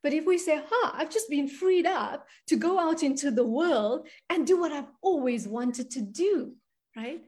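Earnest high-voiced woman coach, mellow tone. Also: lively intonation, a little slow. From South Africa. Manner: emphatic, earnest, often adds "right?". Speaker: money and spiritual coach, guest. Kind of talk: conversational interview.

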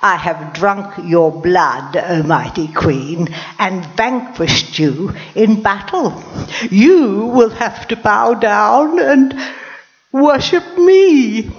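A woman's voice: medium pitch, animated, big-room sound, lo-fi sound, pitched above the performer's own voice. A woman voicing a boastful, gloating tiny insect in a storybook tale. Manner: slow, gloating, grandiose.

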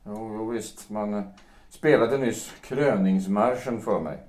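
An actor playing a melancholy, self-pitying writer of fifty in an audio drama, speaking haltingly and broodingly. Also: male voice, fairly low pitch, a little mellow, natural voice. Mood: wounded, yearning. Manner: halting, brooding delivery with repeated words. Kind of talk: stage-play audio drama acting. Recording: roomy, echoing sound.

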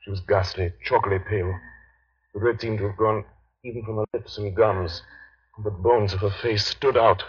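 An actor playing an earnest young doctor, giving a formal, measured account in a 1930s radio drama. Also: male voice, fairly low pitch, fairly even intonation, slightly rough. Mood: sombre. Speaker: actor, narrator. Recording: muffled old 1930s radio broadcast.

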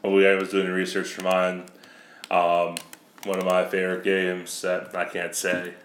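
Male co-host, silky bass, very low and even, laid-back, echoey room. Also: a little slow, slight background noise. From New York. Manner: laid-back, brief replies. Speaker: podcast co-host. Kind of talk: casual chat.

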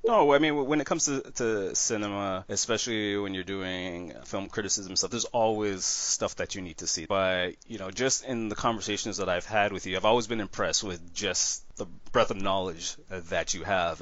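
Low-voiced man hosting a film podcast. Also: fairly bright tone, dry recording, highs cut off. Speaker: podcast host.

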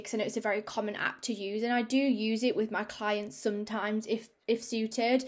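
A young woman hosting her own fitness podcast, chatty and upbeat with many fillers, a little croaky from a cold. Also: a little high. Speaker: podcast host and fitness coach. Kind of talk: casual solo podcast talk.

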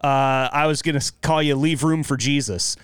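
A man's voice: mid-range voice, steady tone, moderate pace, a little hiss or hum. A man in a casual, playful chat as a podcast co-host. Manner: playful, joking.

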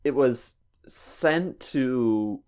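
A man on a casual comedy podcast, answering quiz questions slowly and hesitantly with many ums. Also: mid-range voice, darkish tone, clean tone. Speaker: podcast co-host and quiz contestant.

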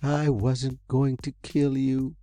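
A man's voice: mid-range voice, flat delivery, takes it slowly, dark tone, faint music behind, voice pushed up, close to an everyday voice. A man voicing an eccentric, earnest older male inventor, speaking in a raised, pleading and then eager voice. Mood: hopeless.

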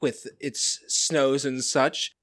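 A man's voice: mid-range voice, little pitch movement, very slow, a bit bright, no echo. A man speaking as a podcast co-host in casual chat.

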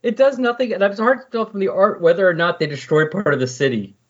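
A man talking casually and loosely on a comics podcast, thinking aloud as he explains, with a slightly husky voice. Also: high-pitched, quick pace. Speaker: podcast co-host discussing comics. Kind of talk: casual, rambling comics discussion. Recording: dull lo-fi voice recording.

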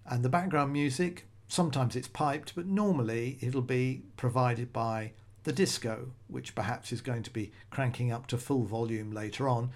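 A man's voice: medium pitch, steady tone, normal speed. Male solo podcast host, middle-aged, casual, rambling delivery, mid-range voice. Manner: conversational, rambling, self-correcting restarts.

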